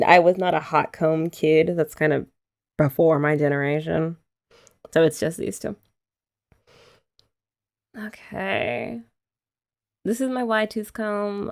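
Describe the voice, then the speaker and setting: A young woman with a lowish voice and a gravelly edge talks through an ASMR girlfriend roleplay. She explains each step in a relaxed, chatty way, with playful teasing mixed in. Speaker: ASMR creator.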